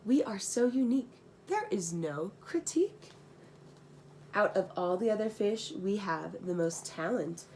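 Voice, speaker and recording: A woman's voice: mid-range voice, lively intonation, measured pace, a little mellow, slight background noise. A young woman voicing boastful, mocking fish friends in a children's storybook, with a sneering, sing-song delivery. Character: boastful, mocking clique of sea creature friends.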